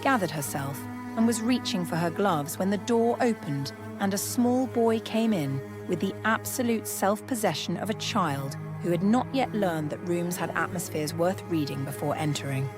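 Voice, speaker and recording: A woman narrates a piece of fiction in a low voice. Her delivery is measured and literary. Music plays clearly behind her. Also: lively intonation. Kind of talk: scripted story narration.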